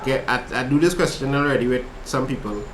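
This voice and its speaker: A man's voice: mid-range voice, fast, reverberant, slight background noise, poor audio. A man with a Trinidadian accent who tutors maths over a casual livestream and chats with viewers between steps. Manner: relaxed, chatty, reads chat aloud while working problems. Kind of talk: casual livestream study session.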